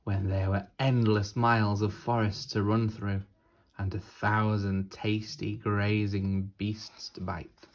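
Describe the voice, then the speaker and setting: A man narrating a children's storybook like a teacher reading aloud, deliberately and with dramatic pauses. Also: lowish voice, little pitch movement, a little hiss or hum.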